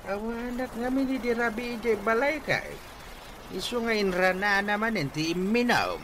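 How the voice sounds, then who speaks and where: A man's voice: high voice, animated, normal speed, noisy background. A man playing an exasperated older father who scolds his grown son in a blustering, emphatic way, in a radio comedy-drama. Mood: grumbling.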